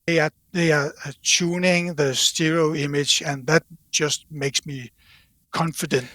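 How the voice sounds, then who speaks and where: A man speaking as an interview guest in casual conversation, haltingly, repeating words as he thinks aloud. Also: on the high side, fairly even intonation, pushed and bright, dry recording. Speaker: podcast guest from a loudspeaker company.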